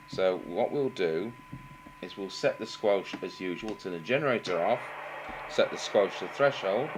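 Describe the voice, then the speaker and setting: Low-voiced Englishman, halting, over humming noise. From England. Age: middle-aged. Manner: halting, thinking aloud. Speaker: radio engineer demonstrating repairs. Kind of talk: unscripted technical demonstration. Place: indoor workbench, voice off camera. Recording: noticeable background noise with hum.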